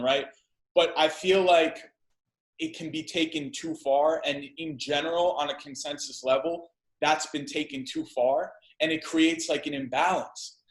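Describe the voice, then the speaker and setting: A man in his thirties with a fairly high voice talks as a podcast guest. He is emphatic and loose, doubling back on phrases and dropping casual curses. The sound bounces around an echoey room. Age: 30s. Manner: emphatic, repeats himself, casual swearing. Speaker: guest entrepreneur.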